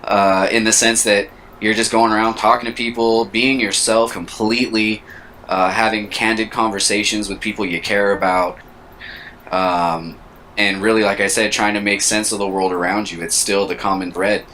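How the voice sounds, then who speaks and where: Man, 30s, very deep voice, bright tone, reflective, co-host. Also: level tone, normal speed, slight background noise, low-quality recording. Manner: reflective, searching for words. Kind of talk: casual chat.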